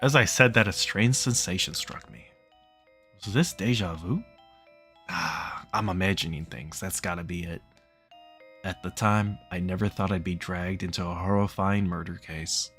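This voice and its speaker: A man's voice: deep voice, normal speed, pushed and bright. A man narrating a visual novel as he plays it, casually, with joking asides. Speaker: let's-play host reading game text aloud. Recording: faint music behind voice.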